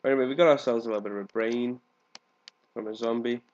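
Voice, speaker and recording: A man's voice: medium pitch, steady tone, fast, darkish tone. A man with a congested, cold-affected voice giving casual, rambling let's play commentary as the host of a gaming video. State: congested with a cold, coughing now and then. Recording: dull, lo-fi microphone sound.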